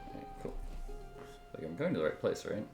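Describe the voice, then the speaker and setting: Very low-voiced man streaming a game. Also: very animated, brisk, a little mellow. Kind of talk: casual playthrough commentary, reading dialogue choices aloud. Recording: echoey room with game music behind. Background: video game music.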